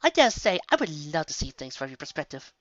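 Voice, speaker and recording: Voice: medium pitch, big pitch swings, fairly quick, no room sound, highs cut off, close to an everyday voice. A storyteller voicing a refined, haughty female unicorn who is prim, poised and mock-polite. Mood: smug. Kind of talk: fan fiction story character voice.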